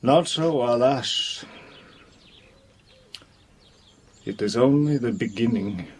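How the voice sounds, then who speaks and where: An actor playing a grave, commanding learned mentor in an audio drama, speaking with theatrical, solemn emphasis. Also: male voice, medium pitch, expressive, unhurried, slight background noise, lightly acted.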